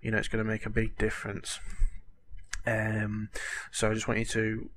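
A man's voice: lowish voice, completely flat delivery, normal speed, fairly bright tone, a little hiss or hum. A man with a cold and a cracking voice, rambling chattily with lots of fillers over game-tutorial footage. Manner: chatty, rambling, apologetic, lots of "you know". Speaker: gaming tutorial host.

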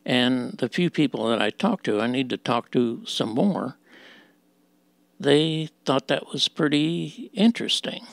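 Slow, gravelly man explaining electronics. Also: mid-range voice, animated, dry recording. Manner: halting, explanatory delivery in short phrases. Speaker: presenter of a vintage radio demonstration. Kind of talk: informal technical walkthrough.

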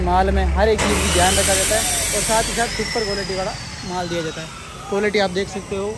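A man giving an improvised, repetitive promotional pitch for his factory's products in running sales patter. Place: welding workshop at a factory. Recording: noisy workshop with hiss and machinery.

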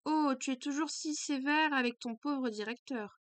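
A woman's voice: high-pitched, normal speed, no echo, natural voice. A woman voicing a jovial older man who runs a school, speaking theatrically.

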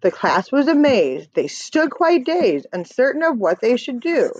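Animated woman reading slowly aloud. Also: high voice, dry recording. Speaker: read-aloud storyteller. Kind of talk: expressive children's picture-book reading. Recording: dull lo-fi webcam audio.

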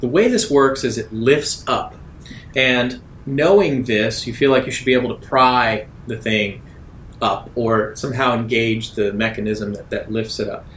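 Man, game master, tabletop narration, mid-pitched, roomy echo, faint rumble. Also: a little slow, a bit bright. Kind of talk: tabletop roleplay narration and improvised dialogue.

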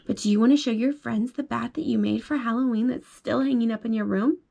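A young mother reading a picture book aloud to her toddler at bedtime, slow and sing-song, asking coaxing questions about the pictures. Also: fairly high pitch, vocal fry, mellow. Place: child's bedroom at home. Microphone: phone held above the reader's lap.

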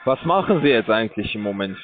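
Pushy scam caller on a tinny phone line. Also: male voice, lowish voice, very animated, quick pace. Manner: broken German, filler-heavy, pushy salesman. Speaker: scam call center caller. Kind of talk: phone call.

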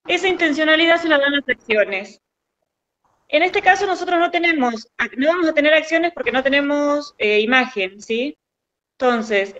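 Muffled call audio, woman, forties, high voice, explaining with tag questions. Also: fairly bright tone. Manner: checks understanding with tag questions. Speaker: workshop teacher. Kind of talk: explanatory teaching. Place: indoor room. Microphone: video call, close to camera. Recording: dull lo-fi video-call audio.

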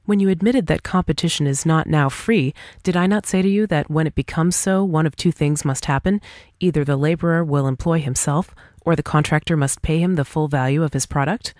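A woman's voice: deep voice, a little fast, darkish tone, no echo, clean studio sound. A woman reading a formal dialogue essay aloud as an audiobook narrator, in an emphatic, rhetorical manner. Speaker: audiobook reader.

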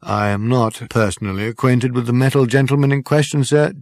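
Man doing a prim polite male waiter, low and measured, formal, dry sound. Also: no echo, natural voice.

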